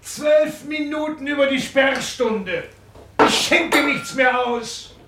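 A harried tavern keeper in a radio drama, very high in pitch. He is firm and declamatory, in a roomy space with clear clatter behind him. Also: slow. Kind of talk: literary radio play acting. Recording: roomy, noisy tavern with clinking dishes.

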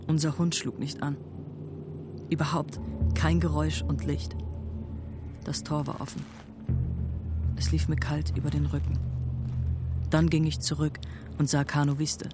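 An actor plays a young boy adopted by Comanches in a radio western. The voice is hesitant, hushed and uneasy, sitting over clearly audible music. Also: medium pitch, level tone, a little slow, long pauses, close to an everyday voice. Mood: grave, uneasy. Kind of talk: German radio drama dialogue and first-person narration. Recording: music under the voice.

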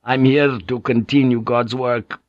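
A man reading an audiobook and voicing a hostile Spanish friar with a rasping, zealous, declamatory manner. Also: medium pitch, steady tone, a little slow, no room sound, muffled recording, voice pushed up, lightly acted. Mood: defiant.

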